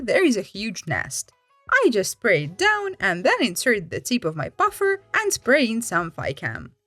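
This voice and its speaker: A woman's voice: medium pitch, sharp tone, no echo. A woman narrating online stories aloud as a host, in a storytime style. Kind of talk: storytime read-aloud with personal commentary. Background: faint music.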